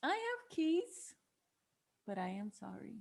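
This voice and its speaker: A woman's voice: a little high, huge pitch swings, slow, gravelly, darkish tone, full character voice. An actress playing a brash, rebellious twenty-one-year-old woman in a table read, flippant and defiant. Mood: guarded.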